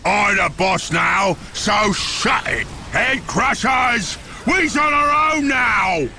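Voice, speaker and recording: A rowdy, dim ork grunt from a video game. He yells in a high, raspy Cockney growl with lively swoops, over music. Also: slow pace, heavily acted. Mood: rowdy, defiant. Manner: yelling crudely. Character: rowdy ork underling, dim, excitable and mutinous. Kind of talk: video game character acting.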